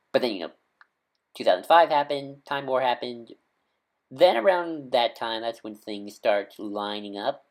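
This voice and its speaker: Rambling fan man full of ums. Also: medium pitch. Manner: enthusiastic fan. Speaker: video host. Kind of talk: casual video discussion.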